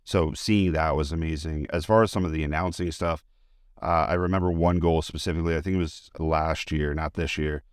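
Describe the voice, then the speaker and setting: A man's voice: extremely low pitch, steady tone, a little fast, clear, resonant tone, dry recording, clean studio sound. A man giving a casual, chatty interview, telling anecdotes in an informal, enthusiastic way. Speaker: interview guest, high school teacher and sports announcer.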